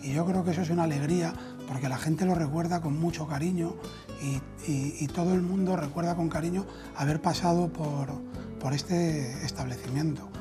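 A man's voice: fairly high pitch, fairly even intonation, normal speed, over music. A man in his thirties with a Castilian Spanish accent, speaking conversationally in an interview as a restaurant owner, on a lapel mic. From Spain. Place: indoors in an empty restaurant dining room.

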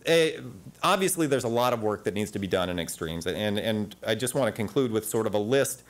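A man in his forties giving an academic panel talk in a conference room on a lapel mic, animated and full of restarts. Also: lowish voice, a little fast. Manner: animated, gesturing at slides, frequent restarts.

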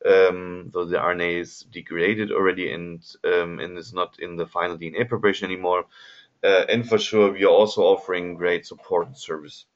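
A man's voice: deep voice, fairly even intonation, brisk. A man with a German accent presenting a product webinar over slides, hesitant with many ums and restarts. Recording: slightly dull lo-fi webinar audio.

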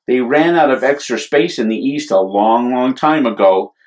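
A middle-aged American man reading a picture book aloud and chatting to children as a storytime host, with an unhurried manner. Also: medium pitch, lively intonation. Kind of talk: picture book read-aloud and casual chat to young audience.

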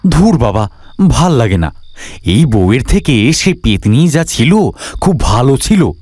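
A man's voice: mid-range voice, very animated, measured pace, darkish tone, no room sound. A man voicing a crafty, earnest married village grandfather in a cartoon, with theatrical delivery. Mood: feigned grumbling. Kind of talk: Bengali cartoon voice acting.

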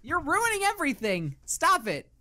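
A woman's voice: sits high, huge pitch swings, moderate pace, background noise. A woman voicing a spirited, exasperated young cartoon heroine, bantering emphatically in an improvised comedy fan dub.

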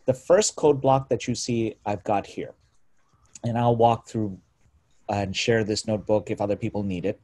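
A man's voice: fairly low pitch, mellow, slightly rough. A man presenting a live screen-share coding tutorial on a video call, informal and self-correcting as he talks. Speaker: meetup presenter.

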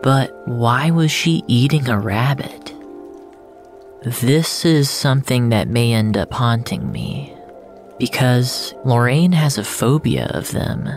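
An actor playing a depressed, regretful man who narrates his own story in a brooding, confessional manner, as in a scripted audio drama. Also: mid-range voice.

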